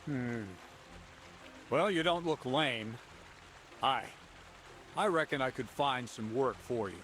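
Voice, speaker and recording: An actor playing a gruff middle-aged miller who bosses a newcomer around with dry mockery, in a game with steady background noise. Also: male voice, medium pitch, slow pace. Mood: mocking. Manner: dry, mocking, bossy.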